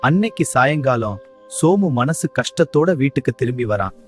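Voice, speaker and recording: A man's voice: medium pitch, very animated, moderate pace, faint music behind. A man reading a scripted moral story as narrator.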